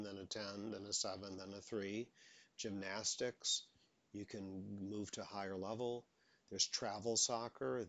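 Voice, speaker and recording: A man's voice: lowish voice, no echo. A man who stutters openly, giving motivational advice as a stuttering coach. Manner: stutters openly, repeats words.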